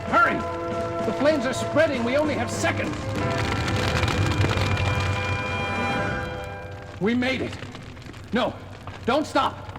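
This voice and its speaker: An actor playing an anguished, earnest male scientist in a melodramatic 1970s horror audio drama. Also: very high-pitched. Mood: urgent. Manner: melodramatic, exclaiming.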